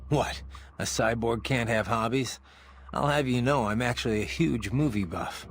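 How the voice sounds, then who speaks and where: A man's voice: medium pitch, normal speed, a little hiss or hum, lightly acted. A man playing a battle-hardened cyborg swordsman in a video game, earnest and philosophical. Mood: reflective, conversational.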